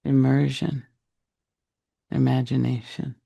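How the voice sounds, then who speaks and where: Gravelly, slow-talking male meditation guide. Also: mid-range voice, level tone, dark tone, dull audio. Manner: deliberate, broken short phrases with long pauses.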